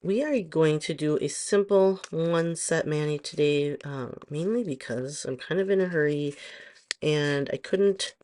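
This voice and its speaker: A middle-aged woman with a hoarse voice, chatting casually through a nail tutorial and rambling with frequent pauses and ums. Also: sits low, mellow. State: hoarse from allergies. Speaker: nail stylist vlogger.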